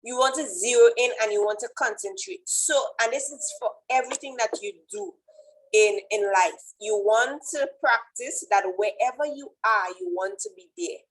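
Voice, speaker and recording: Trini lady, kinda high voice, doing an informal wellness pep talk, keeps checking in with right?. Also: normal speed, low-quality recording. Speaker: fitness coach giving a wellness presentation. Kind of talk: informal motivational presentation.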